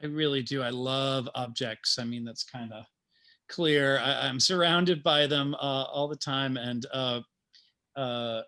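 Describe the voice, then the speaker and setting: A man speaking informally as a guest playwright about his creative process, in a halting, thoughtful manner. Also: medium pitch, bright, forceful tone. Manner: halting, thoughtful, chuckling asides.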